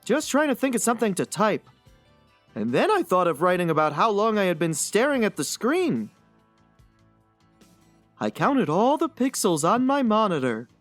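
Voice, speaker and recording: A woman's voice: medium pitch, very animated, normal speed, long pauses, no room sound, faint music behind, close to an everyday voice. A woman voicing a gloomy, pedantic oddball blogger in an earnest, fussy, theatrical dramatic reading.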